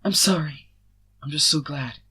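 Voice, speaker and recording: Man putting on an excitable, affectionate woman, pitched up. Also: unhurried, slightly rough, heavily acted. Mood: grateful, embarrassed.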